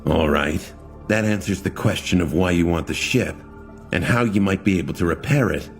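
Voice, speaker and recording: A man's voice: deep voice, moderate pace, soft background music. A man voicing a wary young man with a dry, sarcastic way of talking. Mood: skeptical.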